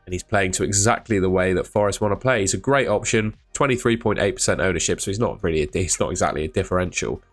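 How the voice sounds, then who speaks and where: A man, hosting a fantasy football channel, talking casually to camera, his voice croaky from shouting, chatty and thinking aloud with asides. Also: deep voice, fast talker, few pauses, faint music behind.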